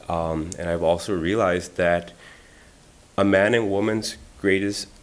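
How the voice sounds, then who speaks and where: A man in his thirties, a community member at a library event, talking earnestly about a poem with frequent fillers and then reading it aloud at a lectern. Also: low voice. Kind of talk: personal reflection and poetry reading at a library event. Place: library meeting room. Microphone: lectern microphone.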